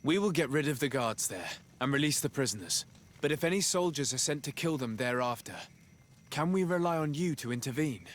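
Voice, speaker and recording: An actor playing a composed, decisive diplomatic envoy in a video game, speaking in a formal, measured way. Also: male voice, medium pitch, expressive, close to an everyday voice. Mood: earnest, resolute. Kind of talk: scripted video game acting.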